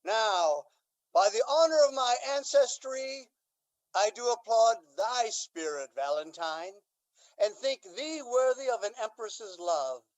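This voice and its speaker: Man playing a high-voiced, stately, slow Duke. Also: expressive, exaggerated voice, rough recording. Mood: solemn, approving. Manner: stately, declamatory. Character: dignified, magnanimous noble Duke, an indulgent father. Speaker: amateur stage actor. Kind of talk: Shakespearean radio drama acting.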